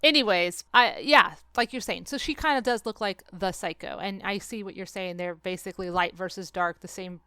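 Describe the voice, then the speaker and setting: A woman podcast host chats casually in a clear, smooth voice, recorded close and dry. Her delivery is animated and sarcastic, with swearing thrown in freely. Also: mid-range voice, moderate pace, hardly any pauses, dry recording. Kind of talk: casual podcast chat about a film and book.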